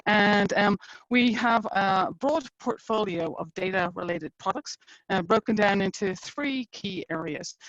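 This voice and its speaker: A middle-aged woman with an Irish accent gives a conversational company webinar presentation, filling pauses with uh and um. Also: mid-range voice, no room sound, dull audio. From Ireland. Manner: conversational, frequent uh and um fillers. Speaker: engineering executive presenting a webinar.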